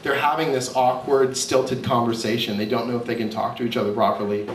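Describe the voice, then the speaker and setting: Male artist speaking live to slides, animated and candid, captured from afar with faint background noise. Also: mid-range voice, fairly quick. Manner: candid, self-deprecating, animated storytelling. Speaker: author and comic artist presenting his work. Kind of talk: informal live talk with slides. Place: indoor cafe event space. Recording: roomy, distant mic, faint background noise.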